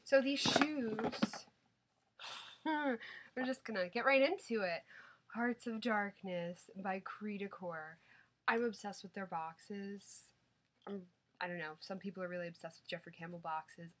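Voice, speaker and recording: Hoarse, nasal young woman vlogger. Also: mid-range voice, measured pace. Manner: excited, gushing, repeats words. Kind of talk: product unboxing vlog. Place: small room at home, indoors.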